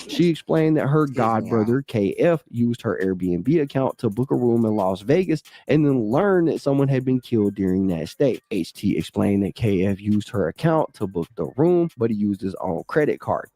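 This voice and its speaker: A man reads through a court filing in a steady, measured delivery, now and then leaning on a word for emphasis. His voice is mellow and low in brightness. The sound is dry and close, with almost no room echo. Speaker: commentator reading a court document. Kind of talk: reading a legal filing aloud with brief asides.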